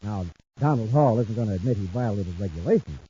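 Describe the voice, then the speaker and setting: Glib, wheedling schemer, American, animated intonation, muffled fifties radio serial sound. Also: male voice, fairly low pitch, fairly quick. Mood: conniving. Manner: smooth-talking, wheedling. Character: sly, needling crooked younger man, glib schemer. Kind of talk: 1950s radio serial acting. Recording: muffled, hissy 1950s radio recording.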